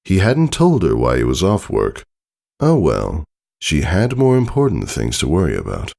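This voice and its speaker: A man's voice: very deep voice, huge pitch swings, moderate pace, vocal fry, mellow. A man reading a story aloud as a narrator, in dramatic scripted storytelling style. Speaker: story reader.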